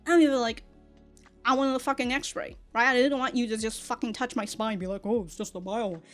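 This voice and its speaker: A young woman on a casual, rambling rant, stammering and repeating words as she goes, with animated, sweary delivery. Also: on the high side, brisk, faint music behind. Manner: often laughs while talking. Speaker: online content creator.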